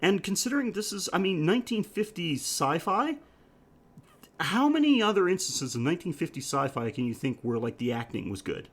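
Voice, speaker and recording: A man's voice: on the high side, big pitch swings, fairly quick. A man hosting a podcast, chatting casually about film in an enthusiastic, rambling way. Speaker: podcast host. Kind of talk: casual film review chat.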